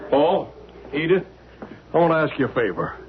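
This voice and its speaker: A man's voice: fairly high pitch, sing-song, normal speed, slight background noise. An actor playing a polite male professor who tells his story eagerly, in a 1970s radio drama on a thin, narrow-band old radio recording. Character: male professor friend, shaken but polite.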